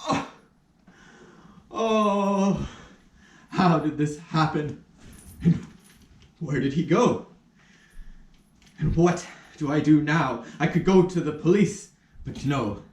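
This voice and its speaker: In a roomy, echoing space, a man acts out a pompous army major for a puppet play. His high voice swoops dramatically as he pleads and exclaims in flustered indignation. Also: lively intonation, takes it slowly, pauses often. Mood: bewildered. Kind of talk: puppet show stage acting in rehearsal. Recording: roomy echo, somewhat distant mic.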